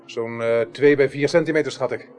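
A man acting a methodical, courteous investigator in a Dutch radio crime drama from the early eighties, reasoning aloud deliberately. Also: mid-range voice, fairly even intonation, noisy background, natural voice. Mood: inquiring, brisk.

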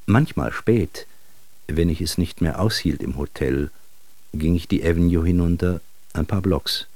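A man's voice: low voice, measured pace, darkish tone, no room sound. A man acting in a literary German radio drama as a wry, world-weary travelling bristle salesman, narrating in a reflective way. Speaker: narrator and lead actor.

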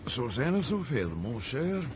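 An actor playing a scheming, worldly older Russian prince in a radio play, suave and patronising, with fussy interjections. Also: male voice, mid-range voice, big pitch swings, measured pace. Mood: dismissive, patronising. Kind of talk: radio drama acting. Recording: noisy old radio play recording.

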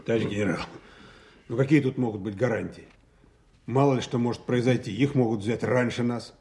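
A man's voice: mid-range voice, brisk, a little mellow, natural voice. An actor in a radio play voicing an earnest, cautious army staff officer, matter-of-fact and emphatic in his reporting. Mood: cautious, doubtful. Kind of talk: Soviet-style radio play acting.

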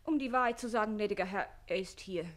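An actress playing a spirited, sharp-tongued young lady's maid in a German radio play, pert and quick-witted. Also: medium pitch, lightly acted. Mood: pleading, earnest.

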